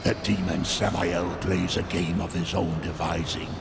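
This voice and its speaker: An actor playing a sly, sardonic demon merchant in a video game, ominous and portentous, with a gravelly voice. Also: male voice, deep voice, level tone, moderate pace. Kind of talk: video game cutscene acting. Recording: loud noise behind the voice.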